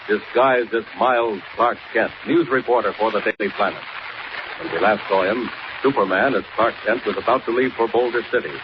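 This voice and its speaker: A man narrating and announcing a 1940s radio serial in a dramatic, declamatory manner. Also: medium pitch. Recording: muffled, noisy old 1940s radio recording.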